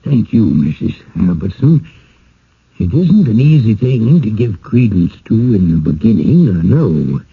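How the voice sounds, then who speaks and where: Through a narrow, phone-like old radio recording comes a courteous village host. He is very raspy and unhurried, with swooping intonation. He fusses politely and persuades gently, in radio drama style. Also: fairly low pitch, big pitch swings, exaggerated voice. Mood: gentle, explaining. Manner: courteous, flustered, old-fashioned phrasing.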